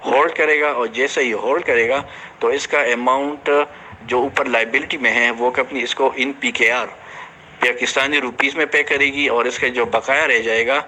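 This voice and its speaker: A man gives an informal, explanatory announcement to his team as a company director, halting at times and mixing in English business terms. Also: medium pitch, fairly even intonation, pushed and bright, a little hiss or hum, poor audio.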